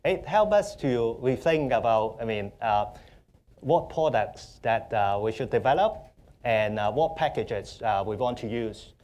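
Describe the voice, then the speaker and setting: A young man from Hong Kong with a Hong Kong accent, speaking as a panelist in a conference hall, halting and full of fillers. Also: medium pitch, animated, darkish tone. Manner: halting, many fillers and restarts. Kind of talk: panel discussion.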